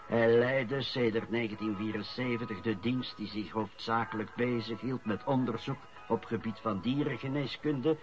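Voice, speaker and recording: A man's voice: medium pitch, moderate pace, hardly any pauses, natural voice. An actor playing a man formally reading out a news report in a radio drama. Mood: solemn, formal. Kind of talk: Dutch-language radio drama acting. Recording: dull lo-fi recording, faint music.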